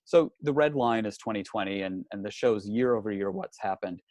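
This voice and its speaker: A man's voice: fairly low pitch, brisk, a little mellow, dry recording. A man presenting a live webinar from a hotel room, talking through slides in a conversational way and often repeating words and restarting. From not from New Zealand. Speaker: real estate industry analyst presenting a webinar.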